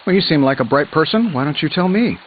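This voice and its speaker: An actor playing a cocky billionaire inventor superhero in a video game, glib and sarcastic with playful quips. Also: male voice, a little high, expressive, fast talker, phone-quality sound, natural voice. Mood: playfully smug. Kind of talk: video game character dialogue, quippy banter. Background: music.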